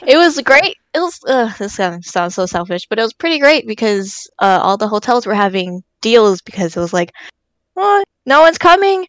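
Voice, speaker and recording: A woman's voice: fairly high pitch, very animated, normal speed, no echo. A woman in her early twenties in casual chat, giggly and telling stories with quoted asides.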